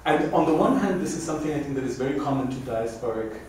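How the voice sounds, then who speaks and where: A man's voice: medium pitch, expressive, fast talker, a little mellow, distant mic. A man in his fifties moderating a public conversation, framing thoughtful, academic questions on a lecture stage with a handheld mic. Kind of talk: interview questions. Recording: echoey room, recorded from afar, faint noise.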